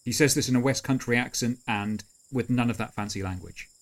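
Male tabletop player, medium pitch, improvised character description. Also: brisk. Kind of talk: improvised role-play and third-person description.

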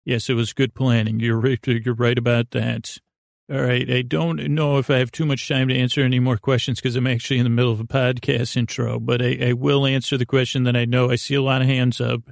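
A man hosting a podcast with a soothing, creaky voice, rambling in a halting, silly, whimsical way as if for bedtime. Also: mid-range voice, flat delivery, no echo, studio quality. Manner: meandering, halting, silly and goofy. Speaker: podcast host. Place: podcast studio.

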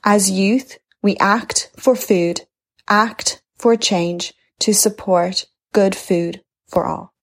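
A young woman introduces herself and then reads a pledge. She speaks clearly and deliberately, at a middle pitch. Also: steady tone. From Ireland. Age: 20s. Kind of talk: reading a pledge.

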